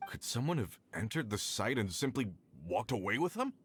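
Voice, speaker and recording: An actor playing a worried mine overseer in a video game, anxious and full of questions. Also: male voice, mid-range voice, big pitch swings, normal speed, slight background noise, lightly acted. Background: game music.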